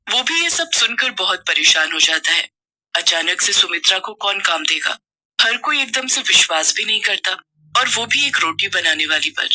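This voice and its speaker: Bright-voiced woman narrating a story. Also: mid-range voice, breathy edge. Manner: steady storytelling.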